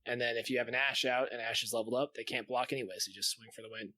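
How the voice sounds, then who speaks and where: Male podcast co-host, mid-pitched, enthusiastic and sweary, casual gamer chat, close dry sound. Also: fairly even intonation, racing, sharp tone, no echo.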